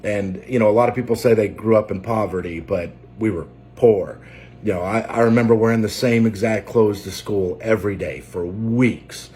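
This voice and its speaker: Man, 40s, Maine accent, low voice; unscripted emotional testimony, hesitant delivery. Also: a little mellow. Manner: halting, many fillers and restarts; emotional, close to tears. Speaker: trucker and preacher.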